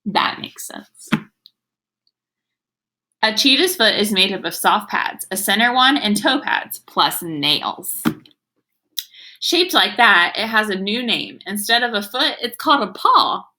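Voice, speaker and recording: A woman with a bright, forward voice reads aloud as an elementary school teacher would. She speaks directly to young children in an instructive manner, pausing to explain and sound out words. Her pitch sits in an ordinary middle range for a woman. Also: lively intonation, a little hiss or hum. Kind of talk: children's read-aloud reading lesson.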